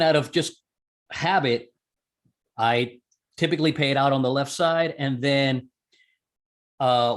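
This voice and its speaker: A man being interviewed, explaining a technical procedure step by step in a conversational way and correcting himself as he goes. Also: mid-range voice. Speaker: interview guest, amateur radio operator and skydiver.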